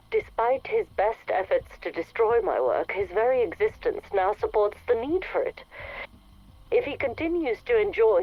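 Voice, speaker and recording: An actress plays a chilling, clinical female scientist from a video game. She speaks low, with formal, detached composure and quiet menace. The sound is dry and muffled, like a radio recording. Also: no room sound, close to an everyday voice. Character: cold, clinical, sinister female scientist villain. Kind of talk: dramatic game voice acting, measured dictation. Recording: dull radio-like audio log.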